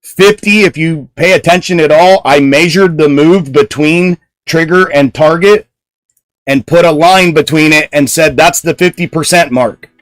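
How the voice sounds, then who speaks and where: Blunt, loud-voiced male stream host. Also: a little high, measured pace, dry recording, poor audio. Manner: loud speaking voice; blunt, answers chat comments directly. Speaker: stock trading livestream host. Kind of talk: casual livestream commentary.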